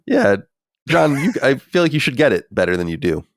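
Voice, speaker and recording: A man's voice: medium pitch, big pitch swings, brisk, creaky, no room sound, studio quality. A man in his forties co-hosting a podcast, chatting casually, reading listener mail aloud and answering bluntly with sighs and swearing. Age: 40s. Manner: sighing, blunt and profane, reads letters aloud. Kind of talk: casual chat.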